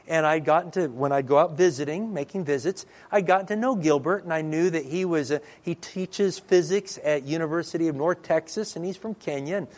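A middle-aged man, a pastor, telling stories in a sermon and acting out the dialogue in a lively way. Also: fairly high pitch, brisk, hardly any pauses. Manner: lively storyteller who acts out dialogue. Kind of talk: storytelling sermon. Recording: long reverb in a large hall.

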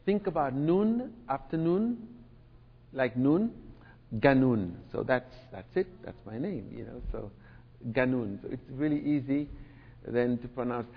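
A middle-aged man from Senegal who grew up in France, giving a talk in a relaxed, conversational way with many fillers and asides. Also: mid-range voice, unhurried, creaky, husky. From Senegal, raised in France. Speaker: speaker, church representative. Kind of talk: sermon-style lecture. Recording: dull sound with long hall reverb.

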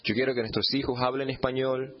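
A man's voice: medium pitch, little pitch movement, a little fast, highs cut off. A man gives a personal testimony as humorous storytelling, playfully acting out bits of dialogue. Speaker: church testimony speaker.

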